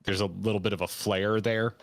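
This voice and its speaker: A man's voice: on the low side, fairly even intonation, brisk, no echo. A man giving casual, wry and analytical reaction commentary as a streamer watching video game cutscenes. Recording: game music playing behind voice. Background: video game cutscene music.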